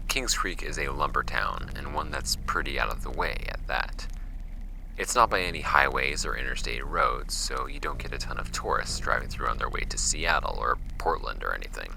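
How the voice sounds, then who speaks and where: A man voicing a composed woman office worker, reading her formal letter in a measured tone for an audio drama. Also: a bass voice, expressive, gravelly, bright, forceful tone, slight background noise, heavily acted, poor audio.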